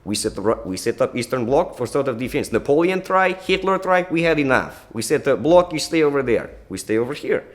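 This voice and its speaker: A man plays a gruff, stubborn Russian with a heavy Russian accent. He speaks blunt and deadpan, low and subdued. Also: a little fast, hardly any pauses, close to an everyday voice.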